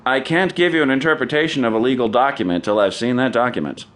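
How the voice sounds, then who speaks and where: An actor playing a shrewd, unflappable American defense lawyer in a radio drama, with a clipped, questioning manner. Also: male voice, mid-range voice, fast, fairly bright tone, close to an everyday voice. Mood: measured. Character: shrewd, cool male criminal defense lawyer.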